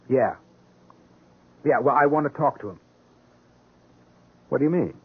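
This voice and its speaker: An actor playing a wry, stubborn, educated police detective in a 1970s radio drama, with a dry, polite, hesitating delivery. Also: male voice, medium pitch, vocal fry, husky, exaggerated voice. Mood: brisk. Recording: muffled, narrow-band old radio broadcast.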